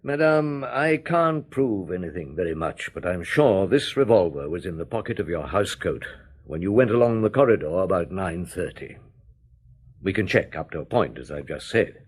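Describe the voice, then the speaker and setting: An actor playing a middle-aged French chief inspector in a radio drama, measured and quietly insistent. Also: male voice, low-pitched, big pitch swings, husky, exaggerated voice. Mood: firm. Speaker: detective. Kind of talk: 1970s BBC radio drama acting.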